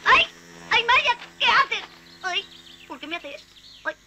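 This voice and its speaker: Very high, whiny little bee boy. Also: expressive, a little slow, very noisy, full character voice. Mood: plaintive.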